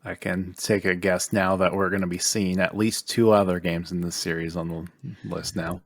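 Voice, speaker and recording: A man's voice: deep voice, level tone, normal speed. A man co-hosting a podcast, talking casually about video games. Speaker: podcast co-host.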